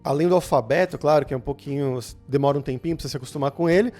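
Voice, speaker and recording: Young Brazilian host, Brazilian Portuguese accent, slightly high voice; casual, steers the chat with recaps and questions. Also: male voice, racing, a little mellow, soft background music. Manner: leads the talk, asks questions and recaps. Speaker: channel host.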